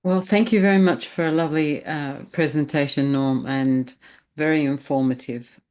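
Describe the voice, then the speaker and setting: A woman speaking as a panelist on an online webinar, answering in a thoughtful, unhurried way. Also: sits low, fairly even intonation, darkish tone. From Australia. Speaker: panelist, academic integrity officer. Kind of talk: panel discussion.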